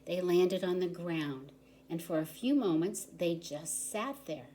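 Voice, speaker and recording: A woman reading a picture book aloud to young children in an expressive, deliberate storytelling manner. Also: lowish voice, slight background noise. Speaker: volunteer story reader.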